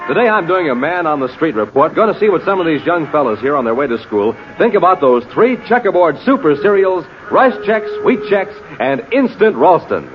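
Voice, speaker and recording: Old time radio announcer guy, punchy and super animated, muffled noisy recording. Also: high-pitched. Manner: punchy, emphatic showman. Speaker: announcer and cereal pitchman. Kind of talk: 1950s radio announcing, scripted ad and story narration.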